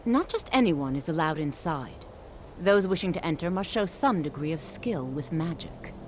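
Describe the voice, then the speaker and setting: Haughty low-voiced female mage gatekeeper. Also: animated, a little hiss or hum. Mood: measured, probing. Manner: measured, formal, probing. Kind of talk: fantasy video game dialogue.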